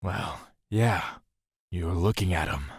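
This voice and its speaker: A man's voice: sits low, slow, gravelly, slightly rough, dry recording, exaggerated voice. An actor voicing a brooding, irritable male god of the void, curt, dry and sardonic, in an audio drama. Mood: wary, curt.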